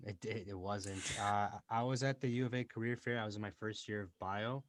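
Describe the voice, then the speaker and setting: Low-voiced young man chatting from a closet studio. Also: fairly quick, slight background noise. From born in Dubai, raised in Canada. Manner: relaxed, chatty, many fillers and self-quoting. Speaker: guest, entrepreneur and rapper. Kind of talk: casual interview chat. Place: small closet used as home studio.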